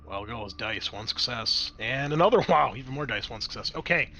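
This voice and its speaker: A man's voice: medium pitch, animated, a little fast, pushed and bright, slight background noise. A man running a tabletop roleplaying game as game master, improvising scene narration and explaining dice rolls.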